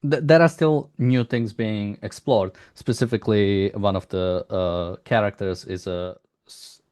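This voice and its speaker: A man's voice: fairly low pitch, steady tone, a little mellow, clear, resonant tone. A man interviewing someone, speaking hesitantly in rambling questions with frequent restarts. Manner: hesitant, halting, rambling, often restarts sentences. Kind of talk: unscripted interview, long exploratory questions.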